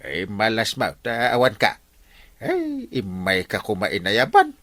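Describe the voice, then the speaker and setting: A man acting an expressive village gossip in a comedy radio drama, full of hesitant interjections. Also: fairly low pitch, a little slow, no room sound, exaggerated voice. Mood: commiserating.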